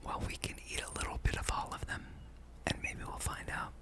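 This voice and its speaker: A breathy whisper from a gaming ASMR host, with no voiced tone at all. The delivery is an unhurried ramble, musing aloud over gameplay.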